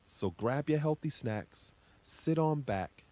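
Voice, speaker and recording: A man's voice: a little high, expressive, slow pace, dark tone. A man introducing a children's story time in a welcoming, encouraging manner, addressing young listeners as host.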